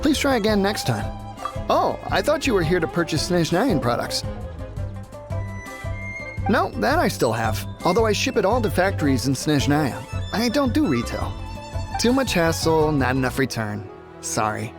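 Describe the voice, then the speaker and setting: A man's voice: on the high side, wildly swooping pitch, normal speed, over music. A man playing a busy, reluctant merchant in a video game, polite but easily flustered. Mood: apologetic, dismissive. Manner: apologetic, hesitant, dismissive.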